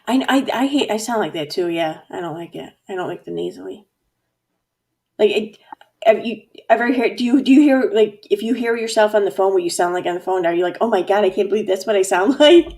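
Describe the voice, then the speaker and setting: A woman hosting a casual livestream chat, talkative and quick to laugh, reading out viewer comments. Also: medium pitch, a little mellow. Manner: chatty, laughs often, reads out viewer comments. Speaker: host.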